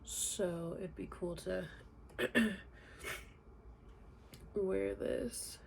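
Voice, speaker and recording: A woman's voice: mid-range voice, drawn-out words, gravelly, a little hiss or hum. A young woman with a congested, nasal voice from a cold, chatting casually in a makeup tutorial at home. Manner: chatty, relaxed, many fillers. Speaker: beauty vlogger. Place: home, indoors.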